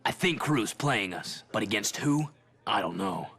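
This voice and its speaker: Game lead: tough stoic racer, low register, clipped deadpan delivery, fearless. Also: male voice, unhurried, creaky, exaggerated voice. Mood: suspicious. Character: tough, terse, fearless young male racer hero. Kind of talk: game cutscene acting.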